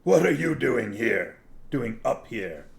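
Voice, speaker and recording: Man putting on a harsh gravelly dark priest. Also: mid-range voice, lively intonation, unhurried, pitched above the performer's own voice. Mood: suspicious, demanding. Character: harsh, suspicious masked male priest of a dark god.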